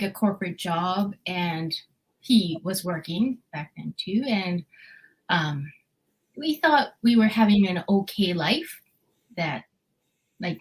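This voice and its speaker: A middle-aged woman from Japan, a guest in a casual interview, speaking softly with hesitations and frequent laughs. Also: medium pitch, poor audio.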